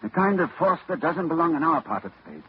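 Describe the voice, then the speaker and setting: An actor playing a grave, urgent scholar in a 1940s radio drama, lecturing and tense. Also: male voice, on the high side, very animated, brisk, breathy edge, full character voice. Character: older male scholar facing a monster. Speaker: Doctor Armitage. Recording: dull old radio recording with hiss.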